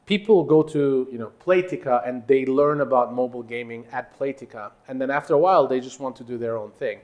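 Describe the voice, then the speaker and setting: Halting, emphatic man from Turkey, mid-pitched. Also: a little mellow, highs cut off. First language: Turkish. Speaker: company executive, interview guest. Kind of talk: conversational interview answers.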